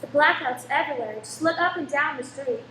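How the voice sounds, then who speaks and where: Radio play lead: exasperated, businesslike woman actress, high voice, roomy sound with faint noise. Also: fairly quick, sharp tone. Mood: firm. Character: brisk, exasperated woman running a cinema. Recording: echoey room, faint background noise.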